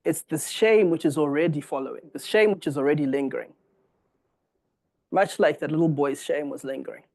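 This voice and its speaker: Earnest lecturer from Zimbabwe, fairly high voice. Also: male voice, fairly quick, gravelly, darkish tone. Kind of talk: formal public lecture read from a text.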